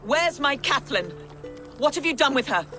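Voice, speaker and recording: A proud, defiant noblewoman, speaking with biting indignation. Her dramatic game dialogue sits over clearly audible music. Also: high voice, animated, moderate pace. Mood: angry, worried. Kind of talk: dramatic video game acting.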